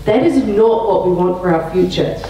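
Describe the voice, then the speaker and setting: A woman, a political candidate answering earnestly, halting over some words. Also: fairly low pitch, lively intonation, crowd noise. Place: community centre hall. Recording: echoey hall, distant mic.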